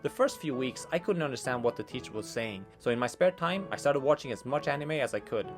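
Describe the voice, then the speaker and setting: A young man from Norway narrating his own vlog in a scripted voice-over with dry, deadpan humor. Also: medium pitch, fast, music in the background. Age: young adult. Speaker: vlogger narrating his life.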